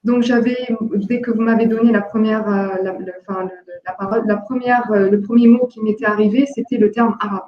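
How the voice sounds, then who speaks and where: A woman from Jordan with no accent, speaking haltingly with many fillers and restarts in an online discussion workshop. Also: mid-range voice, fairly even intonation. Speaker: workshop participant, entrepreneur. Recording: echoey, dull lo-fi call audio.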